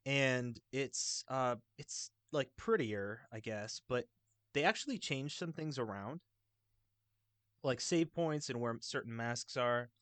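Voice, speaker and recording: A man chatting casually about video games in a rambling, halting, enthusiastic way. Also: medium pitch, animated, fairly bright tone, dry recording. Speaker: video game podcast panelist.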